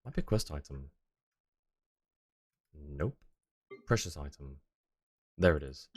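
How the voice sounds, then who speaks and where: Very low-voiced man streaming a game. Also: animated, slow pace, no echo, clean studio sound. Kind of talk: casual chat while playing a game for viewers.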